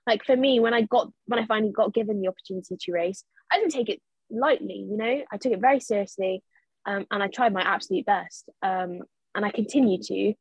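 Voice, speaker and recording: Young woman with a husky voice. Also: medium pitch, quick pace, no echo, rough recording. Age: young adult. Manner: earnest, emphatic. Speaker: jockey, podcast guest. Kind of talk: casual discussion.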